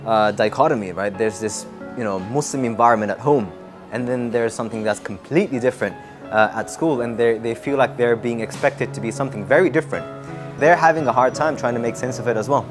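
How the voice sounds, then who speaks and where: A young man from Japan answers interview questions in a thoughtful, measured way, with an ordinary mid-range voice. He pauses and restarts as he works out his thoughts. A clear music track plays beneath him. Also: mid-range voice. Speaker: interviewee, religion student.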